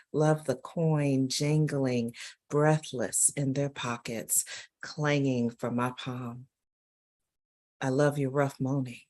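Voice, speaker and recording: A woman giving a dramatic reading of persona poetry. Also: deep voice.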